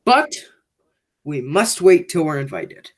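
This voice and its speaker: A woman's voice: sits low, sing-song, takes it slowly, exaggerated voice. A young woman in an amateur radio play, playing a sinister, domineering criminal man with a commanding, insinuating manner. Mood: scheming. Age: young adult.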